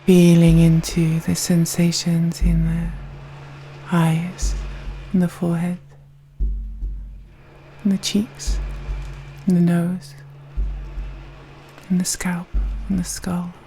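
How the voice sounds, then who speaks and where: Soft, mellow woman guiding meditation. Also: fairly low pitch, monotone. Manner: gentle, slow, soothing, with long pauses. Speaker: meditation guide. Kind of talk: guided meditation narration. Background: soft meditation music.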